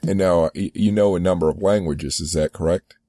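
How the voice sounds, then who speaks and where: Male podcast host, measured delivery, very low dark voice, dry recording. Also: fairly even intonation, dry recording. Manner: measured, scripted intro. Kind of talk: podcast interview.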